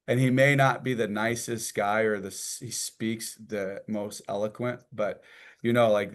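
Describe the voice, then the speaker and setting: A man teaching an informal church class, talking in a folksy, conversational way and acting out little quotes and images as he goes. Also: fairly low pitch.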